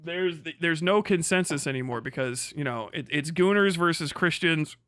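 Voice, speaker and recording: Bright-voiced sardonic streamer guy. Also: on the high side, normal speed, clean tone, dry recording. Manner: sardonic, swearing, laughing often, incredulous outbursts. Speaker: streamer host. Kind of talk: casual live-stream commentary.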